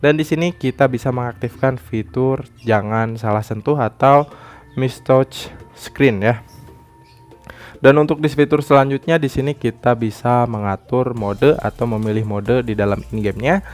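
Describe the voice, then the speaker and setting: Man, mid-pitched voice, explanatory tutorial narration, tag word at phrase ends, music behind. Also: steady tone, normal speed. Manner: explanatory, often tags sentences with "ya". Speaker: tech tutorial YouTuber. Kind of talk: screen-recorded app tutorial narration. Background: background music.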